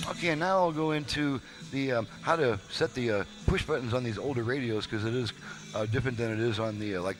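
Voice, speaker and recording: A man's voice: mid-range voice, low-quality recording. A man giving a casual, folksy, step-by-step demonstration as a radio repair technician, explaining things off the cuff. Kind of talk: casual unscripted product demonstration. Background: radio audio playing behind the voice.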